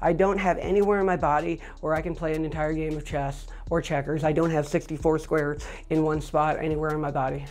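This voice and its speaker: High-voiced middle-aged man, matter-of-fact. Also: little pitch movement, a little fast. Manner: plain, matter-of-fact, with frequent fillers. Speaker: record holder. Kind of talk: interview monologue. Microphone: lapel.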